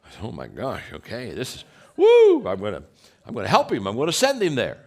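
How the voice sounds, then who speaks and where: A deep-voiced man gives a motivational talk full of personal stories. His pitch swings widely as he acts out quotes, and he often restarts his sentences. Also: huge pitch swings, fairly quick, a little mellow. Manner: storytelling with repeated restarts and acted quotes. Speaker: leadership speaker.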